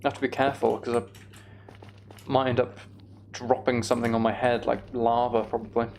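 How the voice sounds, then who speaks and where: A man streams a game and chats casually as he goes. His voice has a gravelly, fry-edged texture at an ordinary male pitch. He rambles with playful exasperation, and light noise sits behind him. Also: little pitch movement, moderate pace, a little mellow. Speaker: game streamer hosting a live chat stream. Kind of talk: casual gaming commentary and chat.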